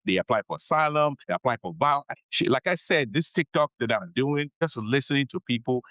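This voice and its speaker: A male attorney explains immigration matters on a podcast, speaking at a middling pitch. He repeats his main points and checks in with "okay?" as he goes. The sound is close and dry, with no room echo. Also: animated, a little fast, a bit bright. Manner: explanatory, repeats key advice, frequent "okay?" check-ins. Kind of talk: conversational podcast interview.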